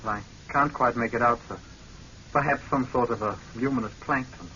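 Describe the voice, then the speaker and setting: An actor playing an earnest, dutiful young scientist in a vintage radio drama, speaking formally and with deference. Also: male voice, medium pitch, moderate pace, sharp tone, close to an everyday voice. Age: young adult. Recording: muffled, noisy vintage radio recording.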